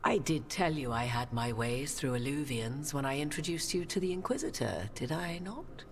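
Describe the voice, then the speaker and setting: A woman playing an imperious, enigmatic sorceress in a fantasy game, with archaic, measured and knowing speech. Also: sits low, expressive, a little hiss or hum. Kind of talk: dramatic fantasy game dialogue.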